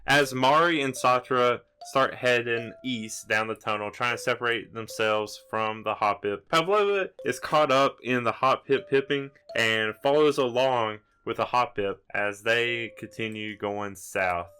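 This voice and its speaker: A man with a very bright, forward voice at a middle pitch. He serves as game master, describing a tabletop game scene in loose, improvised narration. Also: unhurried, hardly any pauses, slight background noise.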